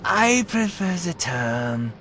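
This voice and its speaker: A man's voice: sits high, big pitch swings, slow pace, fairly bright tone, a little hiss or hum, exaggerated voice. A man playing a sly, smug rogue with a teasing, theatrical delivery in a fantasy audio drama. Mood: smug menace. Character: sly, taunting male rogue scheming a shakedown.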